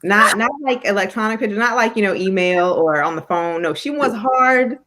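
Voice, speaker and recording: A woman speaking as a guest on a talk show in casual, lively conversation. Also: mid-range voice, moderate pace. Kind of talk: casual chat.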